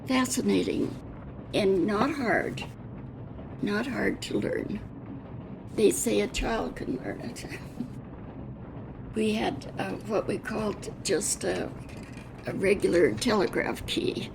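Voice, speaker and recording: An American woman in her eighties or older recalls her past off the cuff, in a slow, halting delivery. Her voice is gravelly and plain-spoken, and she pauses reflectively mid-sentence. The recording has clear background noise. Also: medium pitch. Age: over 80. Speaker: interviewee, retired railroad telegrapher. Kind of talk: unscripted oral-history reminiscence.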